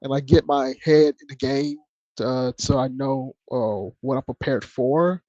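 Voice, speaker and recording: A man speaking softly in a relaxed, low-key way as a guest in a casual podcast chat. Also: unhurried, dry recording, muffled recording. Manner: low-key, laid-back and soft-spoken.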